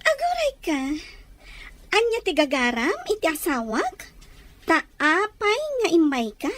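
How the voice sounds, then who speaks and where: A possessive, haughty young woman in an audio drama, acted by a woman. She speaks extremely high, with wildly swinging intonation. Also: big pitch swings, unhurried, fairly bright tone, slight background noise, exaggerated voice. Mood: jealous, hostile. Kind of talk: Ilocano audio drama acting.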